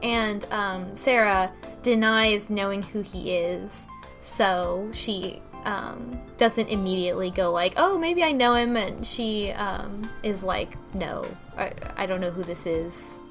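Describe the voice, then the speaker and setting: A woman's voice: medium pitch, slow pace, fairly bright tone. A woman on a casual book club panel, talking in a wry, incredulous, conversational way. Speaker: podcast panelist. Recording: music behind the voice. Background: background music.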